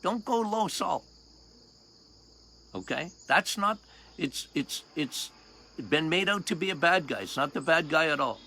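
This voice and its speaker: An older man with a loud voice, a doctor hosting a health podcast outdoors, talking casually and emphatically, repeating key points and quizzing his listeners. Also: a little high, expressive, unhurried, pauses often, a little hiss or hum. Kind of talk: casual health talk monologue.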